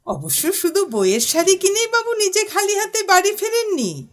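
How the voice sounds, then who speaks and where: An actress playing a doting, chatty elder sister in an audio drama, affectionate and fussing. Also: female voice, very high-pitched, sing-song, normal speed. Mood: chatty, teasing. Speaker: supporting character.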